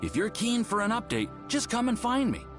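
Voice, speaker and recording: A man's voice: extremely high pitch, wildly swooping pitch, fairly quick, exaggerated voice. A man voicing an eager, polite young research assistant in a video game, earnest and bookish with a deferential manner. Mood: apologetic, enthusiastic. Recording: music behind the voice.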